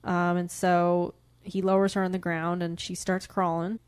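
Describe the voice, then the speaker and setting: A woman's voice: on the low side, level tone, normal speed, clean tone. A woman co-hosting a podcast, bantering casually about books in a chatty, opinionated way. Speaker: podcast co-host. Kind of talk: casual book-discussion banter.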